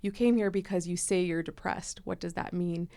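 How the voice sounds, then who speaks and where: A woman's voice: on the low side, fairly even intonation, normal speed, vocal fry, warm tone, no echo. A woman hosting a podcast, Punjabi her first language, asking questions in a loose, filler-heavy way. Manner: filler-heavy, uses "like" often. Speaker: podcast host. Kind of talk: casual podcast interviewing.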